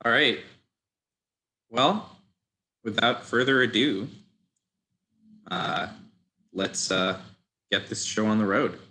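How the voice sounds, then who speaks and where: A man's voice: on the low side, takes it slowly, pauses often, sharp tone. A young man giving a casual introduction as host and showrunner. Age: young adult.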